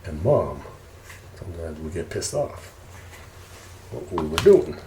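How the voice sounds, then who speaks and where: A man telling casual ASMR stories in an unhurried, rambling way. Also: low-pitched, very animated. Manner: unhurried, rambling family reminiscing. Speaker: ASMR storyteller. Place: indoors, roomy space while preparing food. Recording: roomy echo, faint background noise.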